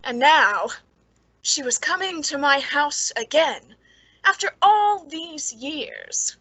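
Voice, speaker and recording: Actress as nervous, high-voiced woman in radio suspense. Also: sing-song, bright, forceful tone, dry recording, heavily acted, rough recording. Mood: brooding narration. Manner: hesitant, halting, pleading. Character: Anna, nervous, fearful, secretly bitter reclusive woman. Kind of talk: old-time radio suspense acting.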